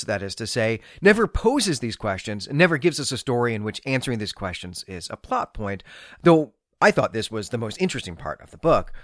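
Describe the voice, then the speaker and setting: A man's voice: fairly high pitch, fairly bright tone, no echo, studio quality. A man hosting a literary podcast, giving analytical, bookish commentary with emphatic phrasing. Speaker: podcast host.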